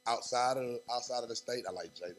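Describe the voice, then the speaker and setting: A young man, a rapper talking as a guest on a podcast in fast, animated street talk full of fillers. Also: mid-range voice. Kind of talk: casual podcast interview.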